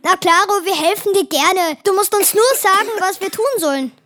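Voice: extremely high pitch, normal speed, bright, forceful tone. A child acting a cheeky, confident, eager schoolboy in a school radio play.

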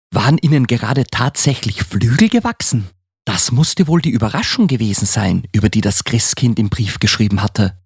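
A school-age child narrates a Christmas radio play for children as a scripted storybook. The voice moves in lively swings of pitch with a slightly husky, rough edge, recorded close and dry. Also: dry recording. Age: child, about ten.

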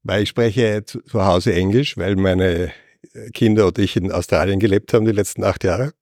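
A man with a very low voice answers a street interviewer, halting and searching for each word. The sound is close and dry, with no room around him. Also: steady tone, darkish tone, no room sound. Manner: hesitant, searching for words. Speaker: passer-by interviewee.

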